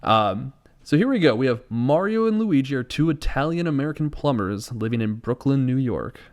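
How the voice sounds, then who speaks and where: A man's voice: medium pitch, expressive, moderate pace, darkish tone. A man co-hosting a podcast in casual comedic banter, with a sarcastic and amused manner. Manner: sarcastic, amused, chuckling through sentences. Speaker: podcast co-host. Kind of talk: casual comedic banter, reading out a plot synopsis with asides.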